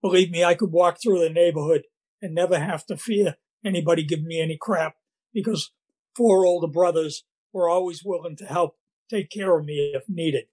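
Older man, Boston accent, fairly high voice, scratchy, halting, personal recovery story. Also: darkish tone. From Massachusetts, USA. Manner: halting, with many fillers and restarts. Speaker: speaker at a recovery meeting.

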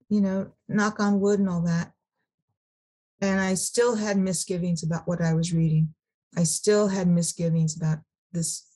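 A middle-aged woman from California sharing her personal story at a recovery meeting, halting, with many restarts and repeated words. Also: on the low side, dark tone. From Sacramento, California.

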